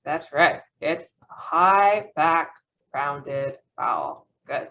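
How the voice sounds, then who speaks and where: A woman's voice: sits low, lively intonation, very slow, sharp tone, highs cut off, slight background noise. A woman student presenting a phonetics lesson over a video call, hesitant, often restarting and laughing at herself. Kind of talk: class presentation.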